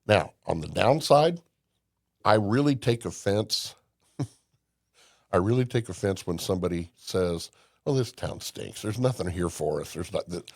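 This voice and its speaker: Older man from Indiana, low gravelly voice, folksy storyteller, dry close mic. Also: lively intonation, a little slow, a little mellow, no room sound. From Indiana, USA. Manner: folksy storyteller, emphatic, with restarts. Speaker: podcast guest. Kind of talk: casual conversation.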